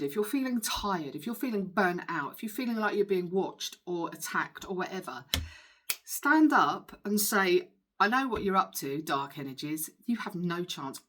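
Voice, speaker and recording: A woman with a slightly low voice talks informally to her viewers as a tarot reader. She is earnest and reassuring, and leans on her words for emphasis. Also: a bit bright, breathy edge. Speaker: spiritual healer and tarot reader.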